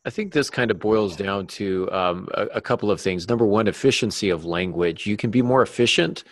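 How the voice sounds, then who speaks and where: A man speaking as a guest novelist in a podcast discussion, explaining craft deliberately and with examples. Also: lowish voice, dry recording, clean studio sound.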